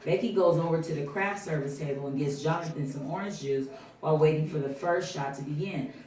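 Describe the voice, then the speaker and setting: A woman's voice: low voice, brisk, a little mellow. A woman reading out scene headings and stage directions as scripted narration at a film table read. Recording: roomy, distant mic.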